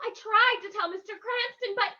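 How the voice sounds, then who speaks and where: A woman's voice: very high voice, moderate pace, sharp tone, lo-fi sound, exaggerated voice. Woman playing a piercing, frightened young heroine. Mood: frightened, eager. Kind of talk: old-time radio mystery acting.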